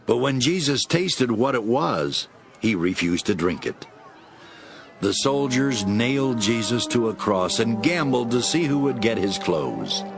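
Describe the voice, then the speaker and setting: A man narrating a dramatized Bible as expressive, scripted storytelling. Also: on the low side, vocal fry. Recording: music behind.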